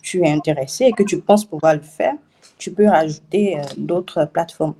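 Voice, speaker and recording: Give me a woman guest who talks about her writing job, soft and low, stumbling over her words with a laugh. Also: moderate pace, slightly rough. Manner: hesitant, repeats words, laughs often. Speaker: web writer, interview guest. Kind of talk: casual interview answers.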